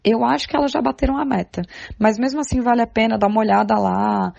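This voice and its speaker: A woman's voice: fairly high pitch, steady tone, fast talker, creaky, darkish tone, no echo, muffled recording. A Brazilian woman speaking informally and earnestly as a podcast guest, with emphatic, conversational delivery. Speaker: podcast guest, theatre artist and art educator. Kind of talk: informal spoken recommendation.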